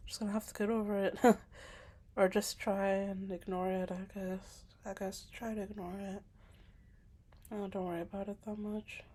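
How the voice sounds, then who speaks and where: A hesitant young woman speaks to camera in a casual, personal monologue. Her speech is self-conscious and full of filler words. She keeps her voice soft, sometimes sinking to a whisper. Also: mid-range voice, flat delivery, moderate pace. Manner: soft-spoken, at times dropping to a whisper. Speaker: vlogger.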